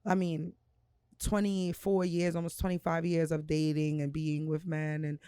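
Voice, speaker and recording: A woman's voice: sits low, little pitch movement, normal speed, mellow, clear, resonant tone, no echo. A woman, co-hosting a podcast in casual, candid chat with a drawl. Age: 30s. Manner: candid and drawling, with frequent laughs. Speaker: podcast co-host.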